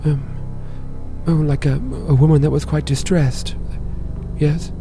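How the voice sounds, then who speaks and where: A man's voice: fairly high pitch, steady tone, over music, pitched above the performer's own voice. A man voicing a frail, hesitant middle-aged little man who stammers politely in a tabletop horror game. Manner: halting, fussy, stammering.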